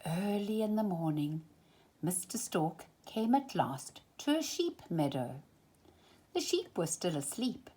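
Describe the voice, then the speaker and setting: Woman doing kids storytime, super up and down pitch, takes her time, stretches the big words. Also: slow pace, husky. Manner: expressive, emphatic, draws out key words. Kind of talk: animated children's storybook reading.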